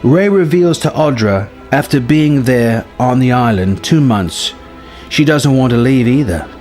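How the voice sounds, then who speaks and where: A man narrates a scripted story in a dramatic, emphatic way. His mid-pitched voice rises and falls in lively swings. Faint music plays behind him. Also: measured pace. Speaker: narrator reading a story treatment.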